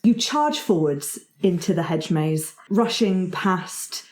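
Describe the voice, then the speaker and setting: A woman's voice: lowish voice, very animated, slow, darkish tone, slight background noise. A woman narrating a tabletop roleplaying game as game master, in a casual, improvised way. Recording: roomy, echoing sound.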